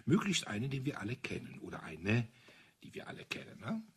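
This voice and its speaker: An actor playing a retired army colonel in a radio crime play, an older man with a husky, raspy voice and a blunt, musing manner. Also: fairly low pitch, wildly swooping pitch, a little fast, full character voice. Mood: provocative, sly. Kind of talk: 1980s German radio crime play acting.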